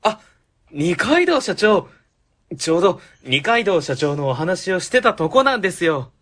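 Casting: male actor for courteous, eager junior civil servant, high animated voice, radio drama. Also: measured pace, full character voice. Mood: polite, helpful. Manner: courteous, helpful. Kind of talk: Japanese web radio drama acting.

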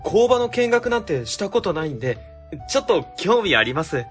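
A man's voice: high voice, very animated, fairly quick, faint music behind. A man playing an easygoing young junior civil servant, casual but polite, in a radio drama.

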